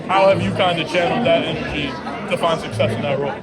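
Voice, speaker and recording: A man with a slightly raised voice interviews a guest on a busy convention floor. His questions ramble and are full of fillers. A loud crowd fills the background. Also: fairly high pitch, steady tone, fast talker.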